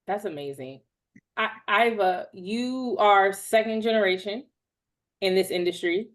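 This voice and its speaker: A woman hosting a panel who asks measured, careful questions, hedging with "kind of" and "right?". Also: medium pitch. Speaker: host, moderator. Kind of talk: interview questions.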